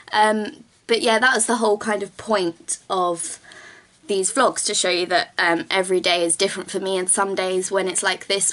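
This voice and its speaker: A young woman films a relaxed vlog from a small bedroom, close to the camera. Her voice is a bit croaky this morning, and she rambles on with plenty of ums and corrects herself mid-sentence. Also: mid-range voice. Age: young adult. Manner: chatty, rambling, lots of ums and self-corrections. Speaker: vlogger. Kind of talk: casual talking-head vlog while doing makeup. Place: small bedroom with bookshelves, indoors. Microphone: camera at close range.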